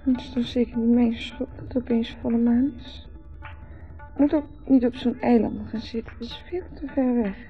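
An actress with a Netherlands Dutch accent playing a dreamy, teasing wife who rambles and repeats herself in a radio drama. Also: female voice, on the high side, steady tone, normal speed, natural voice. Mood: musing. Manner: rambling, repetitive, musing. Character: dreamy, rambling, teasing wife, drinking under a full moon. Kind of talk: 1970s radio drama acting. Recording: dull old radio recording with music.

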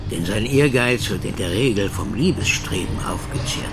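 A man's voice: lowish voice, animated, normal speed. Man reading formally over music. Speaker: reader of quoted text. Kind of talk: formal reading of an old scholarly text.

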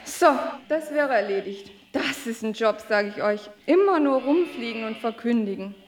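In a roomy echo, an archangel acted by a woman speaks with a fairly high female voice. She is a world-weary messenger of radio comedy, able to sound grandly solemn or flippantly casual. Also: lively intonation, normal speed, a little hiss or hum, natural voice. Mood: flippant, jaded. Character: jaded, chatty female archangel on the job. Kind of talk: comic radio play acting. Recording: echoing radio play recording.

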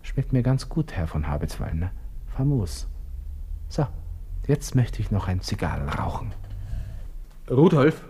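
An actor playing a vain, jittery young Austrian army lieutenant in a radio drama monologue, muttering excitedly to himself in a husky voice. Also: male voice, medium pitch, measured pace, long pauses, full character voice. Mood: giddy relief.

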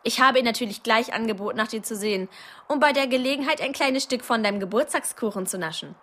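Young girl, high-pitched, talkative, excitable, radio drama. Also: fast. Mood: cheerful. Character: chatty, excitable young girlfriend. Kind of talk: radio play acting.

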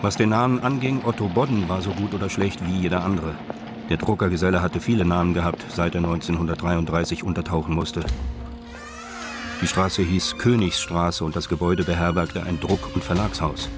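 Male narrator, scripted crime drama. Low register, music and urban ambience behind him. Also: steady tone, fairly quick.

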